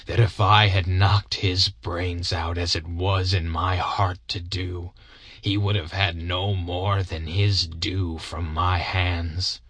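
A man's voice: sits low, fairly bright tone, slightly rough, dry recording, pitched below the performer's own voice, heavily acted. A man doing a bitter, broken old soldier. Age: older. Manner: slow, heavy, grudging storytelling. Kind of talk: audiobook character reading.

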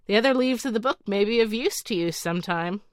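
Woman reads an easygoing magic bedstead servant, relaxed and casual, fairy-tale audiobook. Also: mid-range voice, fast, no echo, studio quality, close to an everyday voice. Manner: easygoing, offhand. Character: calm magical man, slave of a bedstead.

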